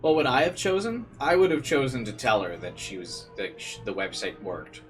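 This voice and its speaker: A man's voice: mid-range voice, moderate pace. A man playing a visual novel, reading its narration aloud dramatically and adding casual commentary. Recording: game music behind the voice.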